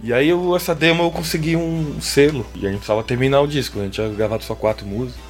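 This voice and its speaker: A Brazilian man chats as an interview guest. His voice sits at an ordinary pitch, and he talks in loose, rambling stretches padded with little filler tags. Faint music plays underneath. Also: quick pace. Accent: Brazilian Portuguese. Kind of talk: casual interview chat.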